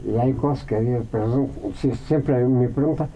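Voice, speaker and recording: A Mexican man, a radio guest, answering at length in a reflective way, with many pauses and fillers. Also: mid-range voice, dark tone, a little hiss or hum, low-quality recording.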